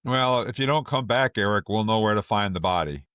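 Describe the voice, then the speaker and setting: A man's voice: lowish voice, expressive, fairly quick, a bit bright, clear, resonant tone, no echo, studio quality. A man hosting a casual fan podcast, bantering and joking as he talks. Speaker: podcast host.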